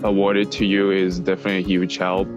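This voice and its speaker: Very deep flat-voiced man, muffled, with guitar. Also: a little fast. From Vietnam. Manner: hesitant with fillers. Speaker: international student. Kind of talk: casual testimonial. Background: guitar music.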